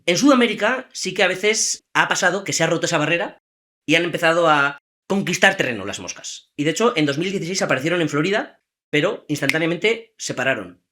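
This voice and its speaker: A man hosting a trivia podcast, telling a story in a lively, chatty way and asking the listeners questions. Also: fairly high pitch, fast. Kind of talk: casual storytelling chat.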